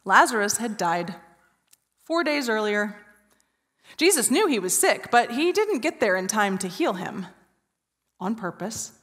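A woman in her forties preaching an Easter sermon from a church stage, telling a story with hushed, drawn-out pauses. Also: medium pitch, big pitch swings. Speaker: pastor. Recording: reverberant church hall.

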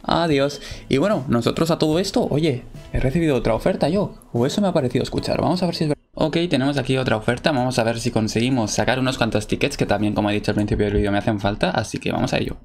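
A man's voice: mid-range voice, racing, hardly any pauses, a little mellow, soft background music. A young man with a Spain Spanish accent, chatting casually to viewers over gameplay, full of fillers. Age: young adult. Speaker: gaming video creator.

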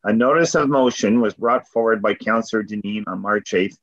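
A man's voice: fairly low pitch, brisk, fairly bright tone. A man serving as deputy mayor, chairing a formal council meeting over a video call in a procedural manner. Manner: procedural, repeats "going once, going twice". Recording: muffled video call audio.

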